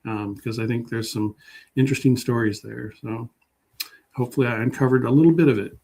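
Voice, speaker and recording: A man with a medium-pitched voice talks as a guest historian. His delivery is casual and modest, and he often halts while thinking aloud. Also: brisk, darkish tone, breathy edge. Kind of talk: casual interview chat.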